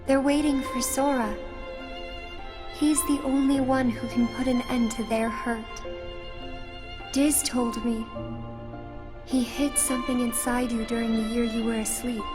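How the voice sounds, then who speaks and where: A woman plays a wistful teenage girl in a video game, soft and solemn. The voice is high and slow, with music playing behind it. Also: level tone, close to an everyday voice. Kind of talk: video game cutscene acting.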